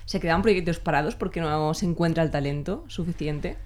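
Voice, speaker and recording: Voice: medium pitch, a little fast. Podcast host asking rambling questions. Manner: asks long rambling questions with tag questions. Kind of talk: conversational interviewing.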